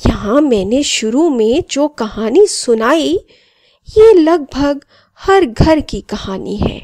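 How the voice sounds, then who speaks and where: A woman voicing a friendly, boastful talking mobile phone that narrates and moralizes to children in an expressive storybook style. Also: sits high, dry recording, clean studio sound. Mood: proud, instructive.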